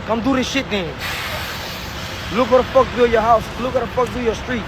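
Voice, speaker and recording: A man with a very high, agitated voice throws out defiant, profane taunts. Clear outdoor traffic noise surrounds him. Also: fairly even intonation, a little fast. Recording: noisy outdoor clip with traffic.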